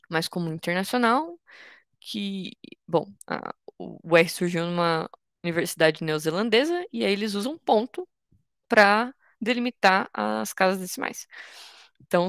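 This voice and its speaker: Gravelly-voiced young woman teaching coding. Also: medium pitch, fairly bright tone, no room sound, clean studio sound. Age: 20s. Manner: patient, informal, explains step by step with restarts. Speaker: workshop instructor. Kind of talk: online coding tutorial.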